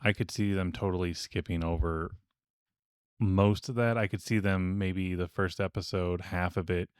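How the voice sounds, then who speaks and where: Low-voiced man hosting a casual podcast. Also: fairly even intonation, moderate pace, clean tone, no echo, studio quality. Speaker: podcast host leading a panel discussion. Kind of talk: casual chat.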